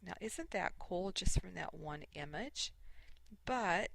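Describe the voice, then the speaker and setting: A sniffly woman hosting a casual, unscripted craft software tutorial, thinking aloud in short, halting steps. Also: lowish voice, lively intonation, vocal fry, no room sound. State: sniffly from allergies. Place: voice-over recorded indoors at a computer.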